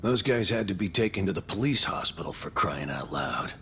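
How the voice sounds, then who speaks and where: A man's voice: low voice, very animated, brisk, pushed and bright, slight background noise, heavily acted. An actor playing a stern but caring male prison guard who lectures firmly, in a video game dub. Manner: firm, lecturing.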